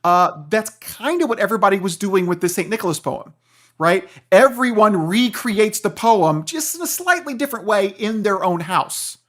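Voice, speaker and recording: A man's voice: high voice, animated, normal speed, fairly bright tone. An American man speaking as an expert podcast guest, explaining conversationally and animatedly, often checking in with the listener. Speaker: guest expert, philosophy professor and author.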